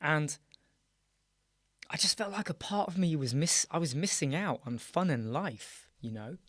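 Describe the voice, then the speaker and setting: A hoarse, croaky British man hosting a casual live chat, greeting viewers by name and trailing off into restarts. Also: a little high, animated, measured pace, a bit bright, no room sound, studio quality. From United Kingdom. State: hoarse, croaky, clearing his throat. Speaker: live chat show host. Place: small dark room with soundproof panels.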